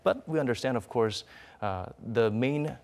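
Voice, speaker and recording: A man anchoring a live news discussion, commenting and asking questions in deliberate, reflective, wordy phrasing. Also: mid-range voice, animated, creaky, darkish tone.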